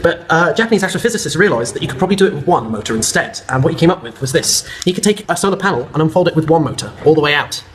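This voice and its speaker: Man, quick eager explainer, demonstrating as he talks, slightly high voice, faint background noise. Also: expressive. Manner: eager, explaining while demonstrating a paper fold. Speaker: guest presenter.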